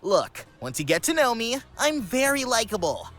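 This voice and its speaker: A woman's voice: on the high side, sing-song, moderate pace, a bit bright. A woman voicing a cocky, easygoing young male cartoon hedgehog in a comic dub, breezy and confident over music. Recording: music under the voice.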